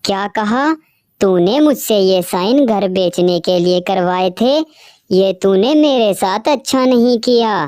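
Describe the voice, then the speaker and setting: A woman's voice: mid-range voice, a little mellow, smooth, dry recording, studio quality, natural voice. A woman voicing a caring female bird who raises her friend's orphan, emotional and outspoken. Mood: angry. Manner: emotional, wailing, scolding. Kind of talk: cartoon voice acting.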